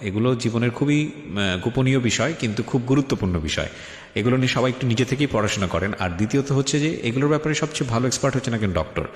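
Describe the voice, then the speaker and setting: Fast-talking Bangladeshi man hosting radio, echoey. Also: mid-range voice, expressive. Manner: switches between Bengali and English. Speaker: radio show host. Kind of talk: serious interview with asides to listeners. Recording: echoey, long reverb.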